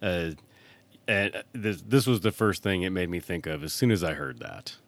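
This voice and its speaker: A man's voice: low-pitched, animated, normal speed, very noisy. A man hosting a podcast in casual solo chat, jokey and informal in his delivery. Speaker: host. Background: music playing under the voice.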